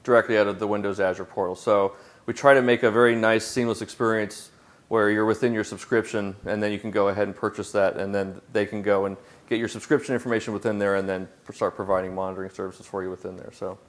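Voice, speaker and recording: Man, lowish voice, conference panelist, casual, self-deprecating, lots of fillers. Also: steady tone, fast. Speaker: product manager presenting on a panel. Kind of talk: conference Q&A answers.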